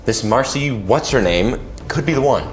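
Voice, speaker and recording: A man's voice: mid-range voice, animated, moderate pace, close to an everyday voice. A young man acting a loyal, persuasive werewolf second-in-command in an audio drama, talking casually. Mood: concerned, chiding. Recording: faint music bed behind the voice.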